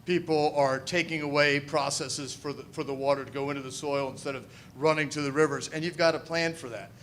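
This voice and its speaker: A man from Missouri, a political candidate answering forum questions in a loud, confident voice into a handheld mic in an event hall. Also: fairly high pitch, fairly even intonation, brisk, slight background noise. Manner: loud, confident, says he has a big voice. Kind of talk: forum answers, public speaking. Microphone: handheld microphone.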